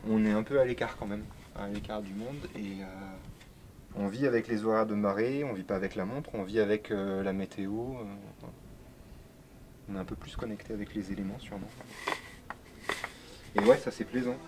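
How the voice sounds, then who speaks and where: A man's voice: fairly low pitch, steady tone, moderate pace, pauses often. A man from the coast, explaining his work as a lighthouse keeper in casual interview talk. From France, Vendée coast. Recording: clearly noisy background.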